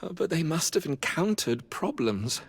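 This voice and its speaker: Game cleric: pious, fretful man, formal, husky voice, long hall reverb. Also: a little high, very animated, normal speed, exaggerated voice. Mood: worried, pleading. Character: anxious, pious cleric of a religious order. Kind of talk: video game dialogue acting.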